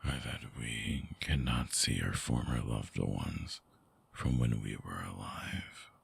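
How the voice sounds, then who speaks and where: A man playing a brooding, vulnerable vampire ex-lover in an intimate ASMR audio drama, hushed and halting, with a breathy, husky, gravelly voice close to the mic. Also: extremely low pitch, no echo, heavily acted. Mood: somber confession. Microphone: close binaural microphone, dry.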